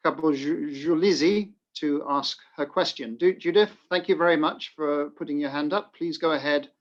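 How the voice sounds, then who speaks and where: A man moderates a panel, handing the floor from one speaker to the next with frequent "uh"s and restarts. His voice sits a bit higher than usual for a man, and the call audio is muffled and dull. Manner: halting, many filled pauses. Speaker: webinar moderator. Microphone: video call.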